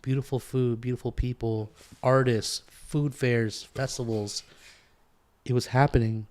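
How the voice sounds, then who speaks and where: A man's voice: mid-range voice, steady tone, unhurried, a little mellow. American man, podcast co-host, mid-range voice, casual conversational style, rambling, repetitive for emphasis.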